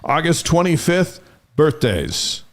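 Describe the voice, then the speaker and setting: A man's voice: on the high side, very animated, slow pace. Middle-aged man, chatty sports show co-host. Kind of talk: casual banter reading on-this-day history items.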